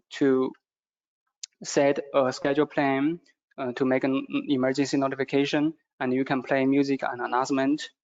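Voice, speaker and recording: A man with a non-native English accent gives a product training presentation, speaking haltingly and repeating phrases as he goes. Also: mid-range voice. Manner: halting, repetitive phrasing with stumbles. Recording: dull, muffled lo-fi audio.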